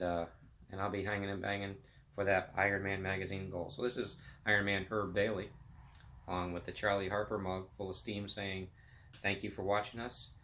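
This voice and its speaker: A man's voice: lowish voice, fairly bright tone. A man in his fifties hosting a casual vlog, chatting enthusiastically about sports and fitness in a motivational way. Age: 50s. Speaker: vlog host.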